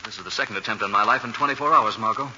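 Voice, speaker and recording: A man playing an urbane, unflappable gentleman detective in 1940s radio drama, crisp, formal and self-assured. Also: lowish voice, racing. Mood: determined. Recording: muffled, noisy 1940s radio recording.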